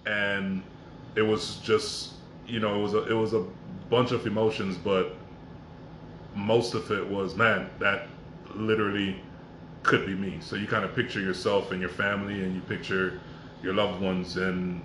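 A man's voice: fairly low pitch, flat delivery, unhurried, fairly bright tone. A man in his forties, originally from Jamaica and raised in Miami, speaking earnestly and reflectively as a podcast guest. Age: 40s. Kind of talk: casual conversational podcast. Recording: echoey room with background noise.

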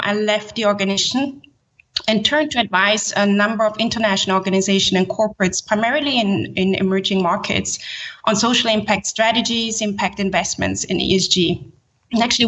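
A woman from Haiti who grew up in Switzerland, explaining her work as a podcast guest in measured, formal, corporate phrasing. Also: medium pitch, fairly bright tone, dull audio. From born in Haiti, grew up in Switzerland. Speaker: podcast guest, ESG executive. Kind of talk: explanatory interview talk in professional terms.

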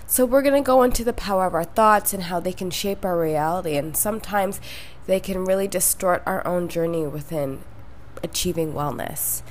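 A woman's voice: lowish voice, moderate pace, a little hiss or hum. A young woman hosting a solo podcast, sounding nasal from a cold and talking in a reflective, conversational way. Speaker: podcast host.

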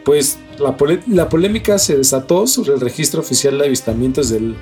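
A man's voice: mid-range voice, a little fast, darkish tone, soft background music. A man with a Mexican accent co-hosting a mystery newscast, reading headlines and chatting in an informal, slangy way. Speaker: news co-host. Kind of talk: scripted mystery news narration with casual banter.

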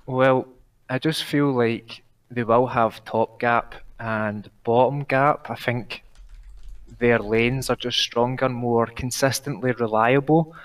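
A nervous man with a Glaswegian accent, calling in to give an esports prediction, talking fast while trying to slow down and pronounce clearly. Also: mid-range voice, fairly even intonation, far from the mic. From Glasgow, Scotland. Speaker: caller.

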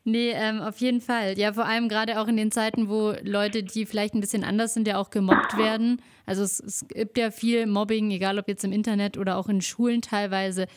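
A woman's voice: medium pitch, level tone, fairly quick, hardly any pauses. A woman interviewing a musician in casual German, friendly and laughing often.

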